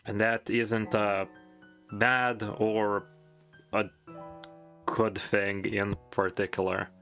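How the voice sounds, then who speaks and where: Low-pitched man narrating a story recap. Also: flat delivery, extremely slow, fairly bright tone. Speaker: video essayist. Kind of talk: casual retrospective narration of a visual novel. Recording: music behind the voice. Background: background music.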